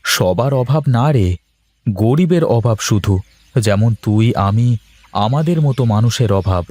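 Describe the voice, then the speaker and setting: A man's voice: mid-range voice, expressive, unhurried, a little mellow. A man voicing a weary, worried but proud working husband in a cartoon, with a homely, complaining delivery. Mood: weary, grumbling. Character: weary, worried married office worker, proud and plain-spoken. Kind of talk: Bengali cartoon voice acting.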